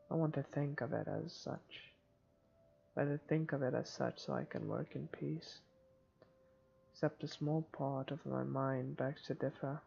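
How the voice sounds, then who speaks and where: A man's voice: fairly high pitch, creaky, warm tone, slight background noise. A man reading visual novel narration aloud for a playthrough, on a dull lo-fi mic. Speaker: let's player reading game narration. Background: faint game music.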